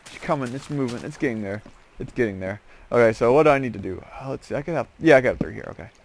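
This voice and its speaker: A man's voice: medium pitch, animated, very fast. A man giving casual, rambling game commentary as host, drifting into joking asides. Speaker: host of a gaming series. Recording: clear background noise with clicks.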